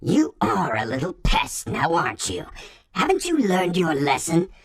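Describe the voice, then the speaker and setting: A woman voicing a sly, theatrical flower villain in a cartoon video game, taunting in a drawn-out, mock-sweet way. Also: lowish voice, wildly swooping pitch, a loud crowd, full character voice. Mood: menacing, scolding.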